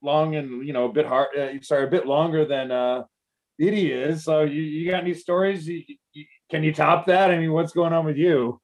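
A man's voice: on the high side, fairly even intonation, normal speed. Rambling, slangy male podcast co-host. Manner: rambling, sweary, slangy, frequent "like". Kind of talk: casual chat, interviewing.

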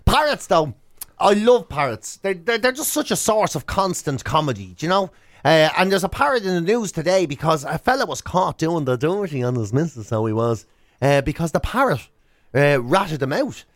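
Irish male radio co-host, high-voiced and very animated, casual comic storyteller who does the voices. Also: moderate pace, dry recording, clean studio sound.

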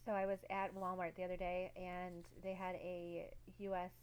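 A woman's voice: on the low side, completely flat delivery, normal speed, darkish tone, a little hiss or hum. A woman co-hosting a casual podcast, talking reflectively with many "like" and "um" fillers. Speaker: podcast co-host.

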